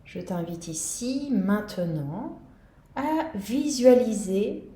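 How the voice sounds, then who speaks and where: A woman guiding a yoga nidra meditation in a calm, gentle, soothing manner. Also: mid-range voice, slow pace, roomy sound. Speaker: meditation guide.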